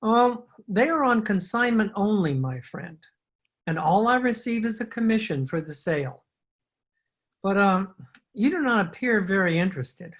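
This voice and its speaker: A woman's voice: mid-range voice, expressive, normal speed. A woman playing a thin, obsequious male rug merchant in a radio drama, speaking with formal, humble, ingratiating patter. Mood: politely evasive. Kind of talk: old-time radio drama acting.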